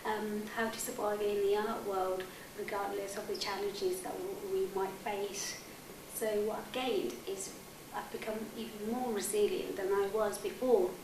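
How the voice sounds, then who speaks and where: A woman in her thirties, originally from Bangladesh, giving a reflective interview testimonial in a halting, thinking-aloud manner. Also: medium pitch, steady tone. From born in Bangladesh, moved to the UK as a child. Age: 30s. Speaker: arts fellowship participant, artist educator. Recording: roomy, distant mic, clearly noisy.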